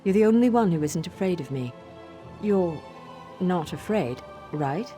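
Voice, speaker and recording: A woman's voice: fairly low pitch, animated, a little slow, mellow, soft background music. An actress voicing an earnest, sheltered young elf woman in a fantasy game, emotionally open and dramatic. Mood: wounded, hesitant.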